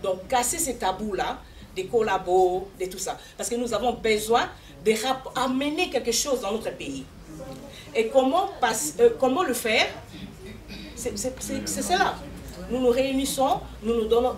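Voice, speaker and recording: A woman from Congo speaking earnestly at a community meeting, repeating herself and restarting as she makes her point. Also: fairly high pitch. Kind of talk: impassioned public address. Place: embassy hall. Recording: echoey room, mic far off, crowd behind.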